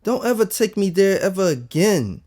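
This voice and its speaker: A man's voice: high-pitched, sing-song, moderate pace, a little mellow, pitched above the performer's own voice. A man voicing an upset, indignant young boy who whines and complains, in acted audiobook dialogue. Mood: indignant, sarcastic.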